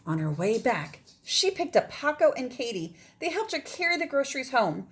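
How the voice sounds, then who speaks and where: A woman's voice: high-pitched, moderate pace. Woman reading a picture book aloud. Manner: expressive, pointing out pictures to listeners. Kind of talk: children's read-aloud with casual asides.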